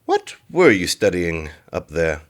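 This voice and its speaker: A man's voice: deep voice, wildly swooping pitch, measured pace, full character voice. An impatient, imperious king. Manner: commanding, skeptical. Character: impatient king, grave and probing.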